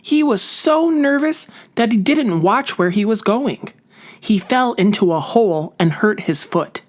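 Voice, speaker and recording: Woman as a sensible, lively storybook hedgehog. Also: mid-range voice, measured pace, voice pushed up, lightly acted. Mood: urgent. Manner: emphatic, reassuring. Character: sensible, bossy, caring hedgehog friend in a storybook.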